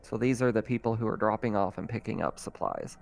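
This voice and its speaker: A man running a tabletop roleplaying game as game master, narrating casually in a halting, improvised way. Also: lowish voice, vocal fry, a little mellow. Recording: faint music behind the voice. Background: faint music.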